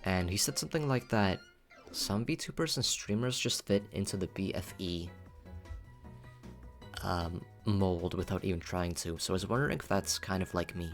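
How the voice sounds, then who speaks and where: A man's voice: sits low, moderate pace, faint music behind. A man chatting casually on a livestream in a playful, cutesy, rambling way, often repeating himself. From Philippines. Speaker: VTuber livestreamer.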